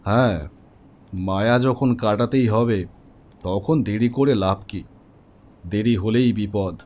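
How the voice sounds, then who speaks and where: An actor voicing an elderly, resigned widower in a horror cartoon, gently reflective. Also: male voice, fairly low pitch, takes it slowly. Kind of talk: Bengali horror cartoon dialogue.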